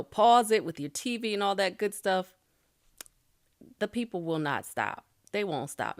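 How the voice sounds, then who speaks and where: A middle-aged woman talks through creator tips in an easygoing, chatty way, laughing lightly and restarting her sentences as she goes. Her voice sits a little low, and the recording is dry with no room sound. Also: no room sound. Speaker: YouTube creator giving tips. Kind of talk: casual spoken tutorial.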